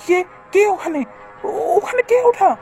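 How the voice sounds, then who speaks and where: A man's voice: very high voice, lively intonation, a little slow, creaky, darkish tone, heavily acted. A man voicing a guilty, anxious married writer in a horror cartoon, exclaiming and repeating himself. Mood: frightened, uneasy. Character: guilty, jumpy married male writer in ghost story. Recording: music behind the voice.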